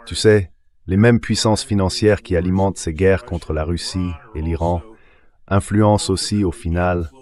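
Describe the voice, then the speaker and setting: A man's voice: deep voice, a little mellow. A man's synthetic dubbing voice giving political commentary as an interview guest.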